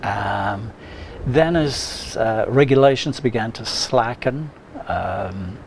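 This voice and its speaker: Slow, halting man with a mid-range voice. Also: fairly low pitch, a little hiss or hum. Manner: slow, halting, with frequent uhs. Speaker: guest, lodge member. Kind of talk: casual interview.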